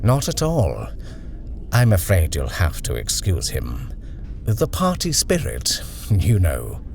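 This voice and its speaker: Guy doing a smooth polite older gent, calm, deep voice. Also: takes it slowly, a little hiss or hum, exaggerated voice. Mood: courteous. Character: tall, distinguished, suave middle-aged gentleman.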